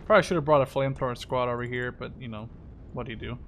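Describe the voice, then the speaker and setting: A man's voice: medium pitch, steady tone, rapid-fire, a little hiss or hum. A man in his twenties thinking aloud as he plays a strategy game, muttering casual commentary with some swearing. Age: 20s. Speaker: gamer commentating own play. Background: game gunfire and artillery.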